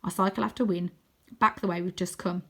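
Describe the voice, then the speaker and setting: A woman narrating a children's adventure book in an expressive, dramatic way, sometimes hushed for suspense. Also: fairly low pitch, brisk. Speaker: story reader.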